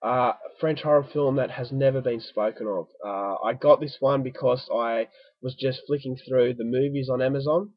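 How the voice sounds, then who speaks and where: A man's voice: medium pitch, steady tone, normal speed, no room sound. A man with a stuffy, congested voice, reviewing a film in a casual chatty way and repeating words for emphasis. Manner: conversational, doubles words for emphasis. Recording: dull, dry lo-fi home recording.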